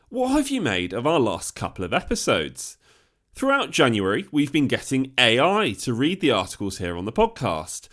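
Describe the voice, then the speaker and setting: British man with very animated intonation. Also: mid-range voice. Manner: direct address to listeners. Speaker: podcast host. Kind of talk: scripted podcast introduction.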